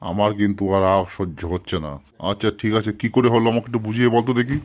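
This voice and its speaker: A man's voice: on the low side, steady tone, racing, close to an everyday voice. A man playing an exasperated, easily angered schoolteacher in a comic cartoon, scolding and long-suffering. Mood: weary, irritated.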